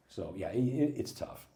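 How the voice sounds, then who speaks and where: Deep-voiced animated American man. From USA. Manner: animated storyteller, acts out quoted people, laughs often. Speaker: interview guest. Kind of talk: casual interview chat.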